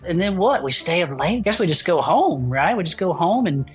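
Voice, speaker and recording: Casting: folksy, earnest investigator and podcast co-host in a comedy audio drama, low register, light music underneath. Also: female voice, big pitch swings, normal speed. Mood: playful. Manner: folksy, says y'all. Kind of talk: scripted audio comedy dialogue, chatty banter.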